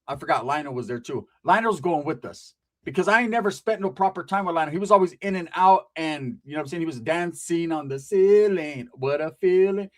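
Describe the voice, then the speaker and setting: A man's voice: a little high, lively intonation, brisk. A man telling a rambling, profane comic story about family, tagging his lines with "right?".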